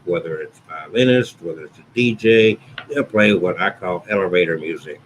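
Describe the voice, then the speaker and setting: A man who works as a wedding officiant, talking through the usual order of a ceremony in an unhurried, conversational way, often restating his points. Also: a little hiss or hum, poor audio. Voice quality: booming voice. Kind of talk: instructional talk to camera.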